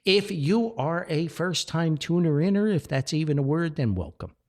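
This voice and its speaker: A man's voice: fairly high pitch, animated, moderate pace. A man hosting a solo podcast in a folksy, rambling, jovial way, full of exclamations. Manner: jovial, chuckles often. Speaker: podcast host. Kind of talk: chatty solo podcast with sponsor reads.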